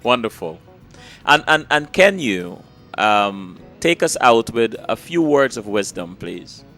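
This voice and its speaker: A man's voice: mid-range voice, very animated, takes it slowly, bright, forceful tone, soft background music. A man from Guyana, hosting an interview and thanking his guest effusively, often repeating small words. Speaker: host. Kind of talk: interview wrap-up.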